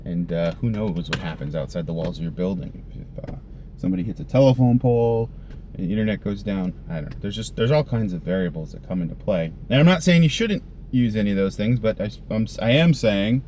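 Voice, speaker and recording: A man giving a casual, unscripted vlog explanation in a halting, conversational way, thinking aloud as he goes. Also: on the low side, animated, a little mellow, a little hiss or hum. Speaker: volunteer church live sound tech, vlogger.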